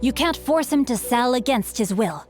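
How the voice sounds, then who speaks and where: Woman playing a young gentleman swordsman, video game, highish boyish pitch, bookish, courteous, eloquent and theatrical, music behind. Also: lively intonation, normal speed, lightly acted. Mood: righteous. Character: studious, polite, chivalrous young male swordsman bookworm.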